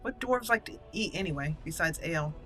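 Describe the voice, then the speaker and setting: A woman's voice: sits low, expressive, brisk, fairly bright tone, faint music behind. A woman giving casual gameplay commentary as the host of a roleplay channel. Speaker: game player and channel host.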